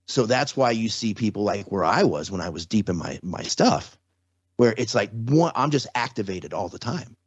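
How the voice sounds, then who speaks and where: A man's voice: on the low side, lively intonation, normal speed, slightly rough, no echo, muffled recording. A man talking casually as an interview guest, explaining things with repeated, restarted phrases and frequent rhetorical check-ins. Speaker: podcast guest, trauma survivor and former security contractor.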